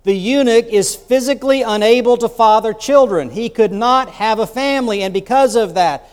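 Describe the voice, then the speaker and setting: A man's voice: extremely high pitch, normal speed, smooth. A man preaching a sermon as bishop, telling the story in a conversational way with rhetorical asides. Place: church sanctuary. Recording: long church reverb.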